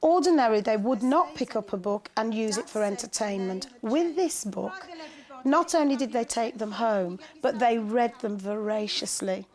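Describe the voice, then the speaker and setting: A woman's voice: fairly high pitch, moderate pace, a little mellow. A woman, a teacher, recounting experiences in an interview in an articulate, enthusiastic way. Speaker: special school teacher. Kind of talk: interview recollection.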